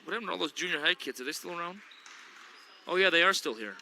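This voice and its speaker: A man's voice: a little high, lively intonation, fast talker, sharp tone, slight background noise. A man doing chatty, joking play-by-play of a local school basketball game from the gym. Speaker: play-by-play announcer. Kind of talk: local sports commentary. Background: gym ambience, bouncing basketball.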